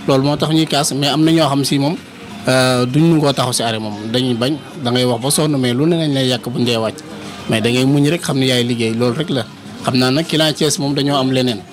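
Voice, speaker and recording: A man's voice: mid-range voice, brisk. A young man who works on the buses, explaining his job earnestly in a street interview inside a bus, speaking into a handheld reporter mic. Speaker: bus worker. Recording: bus noise behind.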